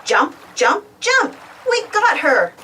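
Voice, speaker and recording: A woman reading a storybook aloud to children in an expressive, exclamatory way, putting questions to her young listeners. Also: high-pitched, slow, slight background noise. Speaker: storybook reader. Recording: distant from the mic.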